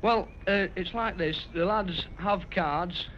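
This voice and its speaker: A man's voice: high voice, takes it slowly, a bit bright. A young man answering questions in a 1950s radio interview. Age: young adult. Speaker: youth club captain interviewed. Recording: muffled, noisy 1950s radio recording.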